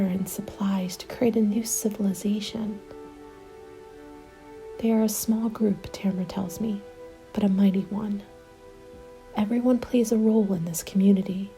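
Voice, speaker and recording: A woman narrating a scripted first-person ASMR story in a soft, measured, often whispery delivery. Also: mid-range voice, rough recording. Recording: background music under the voice. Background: music.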